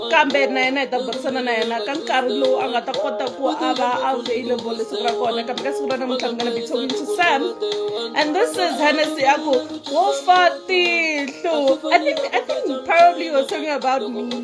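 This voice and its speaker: A high-pitched woman hosts a live online chat show. She talks playfully and laughs a lot, and music plays clearly behind her voice. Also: fast talker. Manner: chatty, playful, laughs often. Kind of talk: casual live-stream chat.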